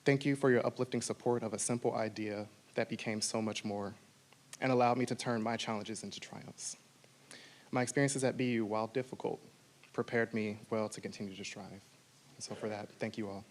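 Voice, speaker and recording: A man's voice: on the low side, steady tone, fairly quick. A man delivering a prepared acceptance speech as an award recipient.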